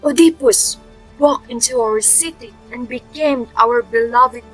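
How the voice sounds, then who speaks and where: A woman's voice: fairly high pitch, measured pace, slightly rough, faint music behind, low-quality recording. A woman playing a mournful, pleading citizen in the chorus of a Greek tragedy, reciting formally and dramatically. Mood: storytelling. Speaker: narrator and chorus actor. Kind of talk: staged readers' theatre of Greek tragedy.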